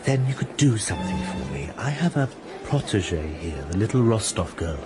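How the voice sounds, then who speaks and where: An actor playing an amiable, well-meaning aristocratic gentleman in a period radio drama, polite and gently coaxing. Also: fairly low pitch, very animated, moderate pace, music in the background.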